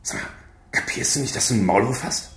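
An actor playing a blunt, scornful, streetwise underworld informant in a radio crime drama. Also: male voice, mid-range voice, fast talker, gravelly, fairly bright tone, reverberant.

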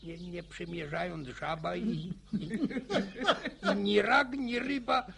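An actor playing an old village grandfather in a radio play, spinning boastful war yarns with a gravelly, stammering, chuckling voice. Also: sits high, slow, crowd noise, exaggerated voice. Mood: boastful reminiscing. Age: older. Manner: chuckling folksy yarn-spinning. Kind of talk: radio drama acting.

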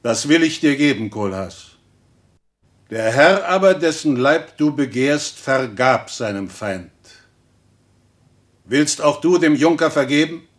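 An actor in a German radio play, playing a stern religious reformer who admonishes in weighty, preacherly speech. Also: male voice, on the high side, lively intonation, slow, pauses often. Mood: grave. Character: stern, reproving Protestant reformer and theologian.

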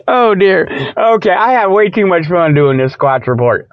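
A man's voice: sits high, big pitch swings, normal speed, smooth, dry recording, lo-fi sound. A man hosting a comic radio report, rambling off the cuff in a folksy, colloquial, repetitive way and amused by his own jokes. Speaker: host of a comic Bigfoot report show. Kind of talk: rambling improvised comic monologue.

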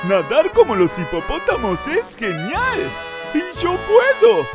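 A man as an excitable young hippo, swooping pitch. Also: measured pace, music in the background, full character voice. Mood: amazed, joyful. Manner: exclamatory, wondering. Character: big young hippo, restless, doubtful then thrilled. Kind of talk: children's audio story acting.

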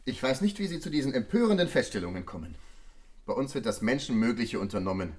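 A defensive air traffic boss in a radio drama, acted by a man. He speaks fast and indignantly, with very animated pitch. The tone is official and self-justifying. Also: lightly acted. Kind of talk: German public radio drama acting.

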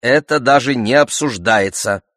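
A stern, protective mother in an audiobook, performed by a man. The voice is raised in pitch, emphatic and scolding, with curt indignation. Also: measured pace, a bit bright, dry recording, clean studio sound, natural voice. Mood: firm. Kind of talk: audiobook character voice.

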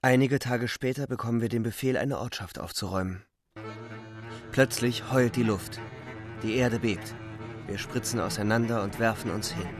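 A man's voice: medium pitch, normal speed, faint music behind, lightly acted. Young soldier narrating the war played by a man. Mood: urgent. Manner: terse present-tense storytelling. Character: young German front soldier recounting the war. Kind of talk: literary radio play narration.

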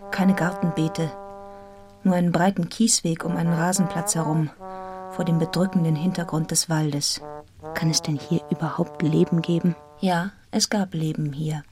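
A woman's voice: fairly low pitch, close to an everyday voice. An actress playing a devoted, independent young Englishwoman in a German radio play, speaking and narrating with literary, composed earnestness. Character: devoted, independent young Englishwoman telling her own story. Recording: studio radio play with music.

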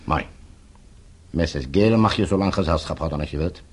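An actor in a radio play, playing a coldly polite blackmailing villain with formal, measured menace. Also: male voice, rumbling low, husky. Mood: commanding, threatening. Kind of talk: radio drama acting.

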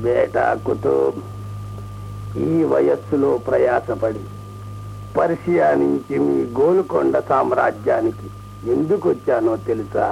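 An actor in a radio play playing a proud, short-tempered old Persian emperor who declaims with indignant pauses. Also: male voice, mid-range voice, vocal fry, dull audio, a little hiss or hum. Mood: grand, persuasive. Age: older. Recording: radio drama with music.

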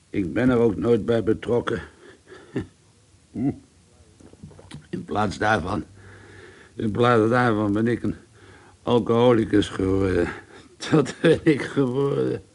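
An actor playing a brooding, secretive alcoholic man who narrates a radio drama in a measured, dramatic way. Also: medium pitch, creaky. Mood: bitter, unravelling. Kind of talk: radio drama acting with first-person narration.

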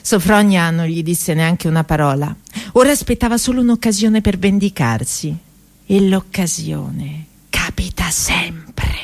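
A woman actress narrating a comic story for radio theatre, grandly emphatic, with dramatic pauses. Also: lowish voice.

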